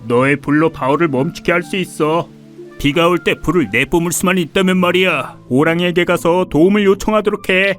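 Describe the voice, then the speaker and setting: A man's voice: a little high, brisk, a bit bright, faint music behind, close to an everyday voice. A man voicing a helpful forest animal in a children's cartoon, advising and warning. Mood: cautioning.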